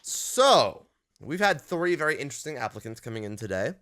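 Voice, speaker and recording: Man, mid pitch, bright lively tone, dry measured interviewer. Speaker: interviewer in an improv card game. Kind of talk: casual summing up.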